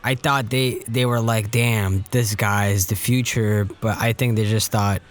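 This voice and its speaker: A young man co-hosting a podcast, telling stories in relaxed, self-mocking casual chat. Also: on the low side, unhurried. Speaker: podcast co-host.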